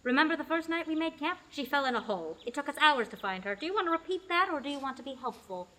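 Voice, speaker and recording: A young woman plays a wry female party leader in an adventure radio play. The voice is high and forceful. She is practical and a little teasing. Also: lively intonation, fast. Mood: brisk, commanding. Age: young adult. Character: wry, sensible, take-charge female travelling companion. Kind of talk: amateur audio drama acting.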